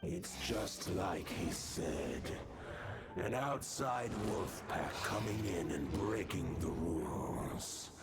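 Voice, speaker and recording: A man voicing a wise, commanding great wolf spirit in a video game, grave and formal in speech, with measured phrasing. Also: sits low, lively intonation, heavily acted. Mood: stern. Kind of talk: video game character dialogue. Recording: loud music behind the voice.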